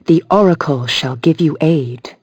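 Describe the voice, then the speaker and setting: A woman's voice: deep voice, unhurried, a little mellow, breathy edge, no echo. An actress playing an aloof, reproachful female spirit guide in a game, stately and cold, in archaic speech. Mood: cool reproach.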